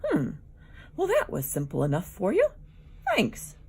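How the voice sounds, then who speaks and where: A woman's voice: huge pitch swings, a little slow, mellow, breathy edge, heavily acted. A woman voicing a jumpy, unwashed male dinosaur in a children's storybook, wailing wildly and pleading. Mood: grudgingly thankful. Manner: wild high wailing, pleading. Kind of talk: children's storybook read-aloud.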